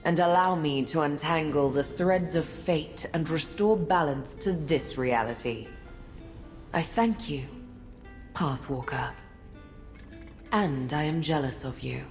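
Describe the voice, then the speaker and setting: An actress playing a melancholy, regal female prince of paths in a fantasy game, speaking in a solemn, formal, measured manner. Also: sits low. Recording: long reverb over soft music.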